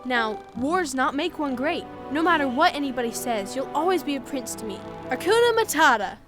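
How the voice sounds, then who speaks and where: A woman's voice: sits high, lively intonation, normal speed. A woman acting a firm, declarative female character with lofty airs in a comedic audio drama. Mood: lofty, earnest. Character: bossy Southern-worded female manager, now loftily quoting like a sage. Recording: music behind the voice.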